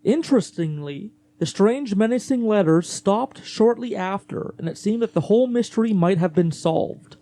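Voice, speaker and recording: A man's voice: high-pitched, animated, moderate pace, few pauses, mellow. A man hosting a podcast, telling a true-crime case in a casual, conversational way with fillers and restarts. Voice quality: voice gets gravelly later in the day. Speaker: podcast host narrating a case.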